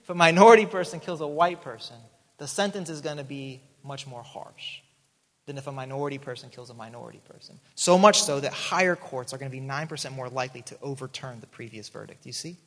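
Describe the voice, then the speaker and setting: A man's voice: medium pitch, fast talker, fairly bright tone, long reverb tail. A man in his thirties who grew up in South America, preaching a sermon in an earnest way and often restarting his sentences. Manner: earnest, repeats words when starting a thought. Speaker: pastor.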